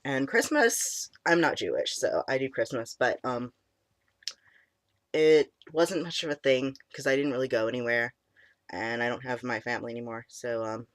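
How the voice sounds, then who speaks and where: A young man in casual chat, his voice cracking and squeaky, deep and stuffy from a cold, rambling with many ums and likes. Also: normal speed, a bit bright, no room sound. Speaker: vlogger. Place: small room indoors.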